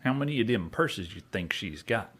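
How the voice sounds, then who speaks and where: Guy doing a dim dreamy cowboy outlaw, husky western drawl, swings up and down a lot, comedy radio play. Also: on the low side, animated, normal speed, fairly bright tone. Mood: uneasy. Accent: rural American West. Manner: folksy, ungrammatical drawl. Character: simple, sentimental young cowboy outlaw, dreamy and uneasy. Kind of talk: western comedy audio drama.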